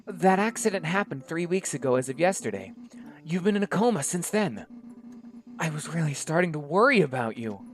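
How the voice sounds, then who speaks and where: A man's voice: fairly high pitch, animated, a little fast, voice pushed up, lightly acted. Man voicing a bubbly young woman doctor. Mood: chiding. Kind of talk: one-man voice acting.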